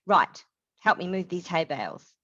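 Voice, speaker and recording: A woman's voice: fairly low pitch, sing-song, normal speed, pushed and bright, muffled recording, heavily acted. A woman voicing a tough, decisive big sister urgently giving orders in a zombie story. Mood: firm. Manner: urgent, commanding.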